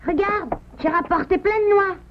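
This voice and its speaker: Very high-voiced earnest beaver boy. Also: moderate pace. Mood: eager. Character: earnest young boy beaver, worried about his father. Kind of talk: cartoon dub acting. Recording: dull lo-fi cartoon soundtrack, faint noise.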